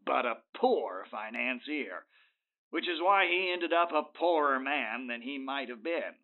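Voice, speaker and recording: A man playing a scheming, smooth-talking older tycoon in a comedic audio drama, with an unctuous, drawn-out emphatic delivery. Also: mid-range voice, expressive. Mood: smooth, persuasive. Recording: thin, phone-like sound.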